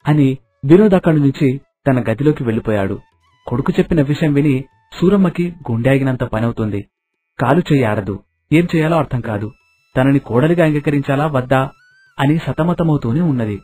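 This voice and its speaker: Guy narrating a moral story, bit husky, music playing behind him. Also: medium pitch, lively intonation, a little fast, dark tone. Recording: narration over background music.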